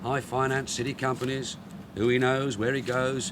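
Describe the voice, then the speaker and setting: An actor playing a shrewd, blunt middle-aged police detective sergeant in a radio drama. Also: male voice, medium pitch, little pitch movement, normal speed, noisy background, lightly acted. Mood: brisk, sardonic. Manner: blunt, dry, probing.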